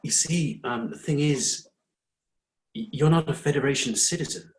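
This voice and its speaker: A middle-aged man playing a weary, exasperated doctor in a dramatic reading, with halting, stammering delivery. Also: on the high side, natural voice, rough recording. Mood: flustered, awkward. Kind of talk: dramatic fan fiction reading.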